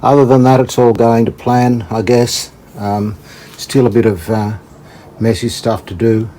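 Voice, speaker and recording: A man giving casual hands-on commentary as a hobby restorer, thinking aloud in a tentative, halting way. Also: medium pitch, flat delivery, dark tone. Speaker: host, hobbyist radio restorer. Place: indoors, hobby workshop. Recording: clear background noise.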